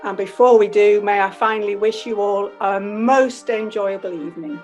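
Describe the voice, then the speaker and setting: A woman's voice: medium pitch, normal speed, a little mellow, faint music behind. A woman hosting a radio play, addressing the audience in a formal, courteous scripted style. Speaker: host of a live radio play.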